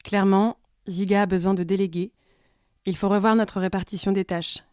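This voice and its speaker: A woman's voice: mid-range voice, steady tone, moderate pace, darkish tone, smooth, no room sound, studio quality, lightly acted. A woman playing a practical, insistent workshop colleague with a direct manner in an audio drama. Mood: decisive. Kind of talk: audio fiction dialogue.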